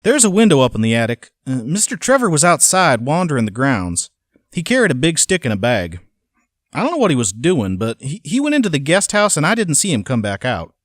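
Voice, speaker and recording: A man's voice: on the high side, wildly swooping pitch, a little fast, no echo, studio quality. An actor playing a simple, obedient hired hand who reads his journal in a plain-spoken, hesitant way for an audio drama. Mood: uneasy, puzzled.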